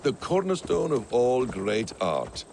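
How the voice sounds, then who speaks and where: Game role: dry-witted travelling warrior, creaky and lively-pitched, eloquent, sardonic. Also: male voice, slow pace, slight background noise, exaggerated voice. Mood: sardonic, amused. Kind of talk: video game character acting. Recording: game ambience behind the voice.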